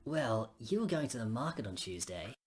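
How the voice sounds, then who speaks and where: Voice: medium pitch, big pitch swings, moderate pace, slightly rough. A woman voicing a chatty, blokey young friend in a visual novel, teasing and full of Australian slang.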